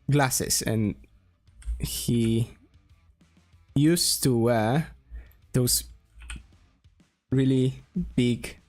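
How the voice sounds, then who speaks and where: A man from Poland with a Polish accent, talking casually as he draws on a stream, slowly thinking aloud with pauses and restarts. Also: mid-range voice, darkish tone. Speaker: streamer and game developer. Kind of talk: casual drawing stream talk.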